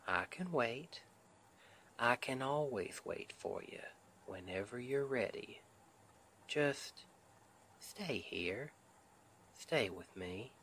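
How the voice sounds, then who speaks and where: A male actor plays a sweet Southern US husband in an intimate ASMR roleplay. He speaks very slowly at a middling pitch, with a folksy drawl. He is tender, protective and a little stubborn in his soothing care. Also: a bit bright, a little hiss or hum. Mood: tender, patient.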